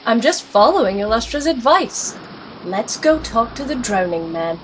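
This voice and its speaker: A woman playing a weary but determined alchemist in a fantasy audio drama, speaking in terse, measured phrases. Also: a little high, very animated, a little hiss or hum, low-quality recording. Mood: resolute.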